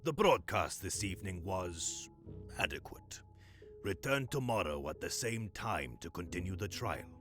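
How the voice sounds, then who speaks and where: A man's voice: sits low, animated, a little slow, fairly bright tone, faint music behind. An actor playing a stern, officious male overseer with a clipped, imperious, coolly menacing manner in an audio drama. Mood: cold, stern.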